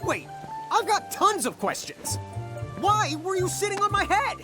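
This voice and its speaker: A man playing a clueless, excitable young brother with an American accent in an animated game cutscene. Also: very high-pitched, measured pace, over music, exaggerated voice. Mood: exasperated.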